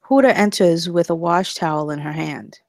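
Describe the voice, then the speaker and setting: Low-pitched woman reading stage directions. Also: normal speed, a little mellow, no room sound, clean studio sound. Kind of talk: scripted narration at table read.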